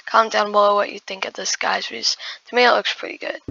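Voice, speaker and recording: Hoarse, creaky woman muttering gameplay commentary. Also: mid-range voice, level tone, fast talker, bright, forceful tone, muffled recording, a little hiss or hum. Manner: muttering half-whispered asides to self, addressing viewers as guys. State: hoarse, losing voice after just waking up. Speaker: gaming video creator. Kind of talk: casual gameplay commentary while building.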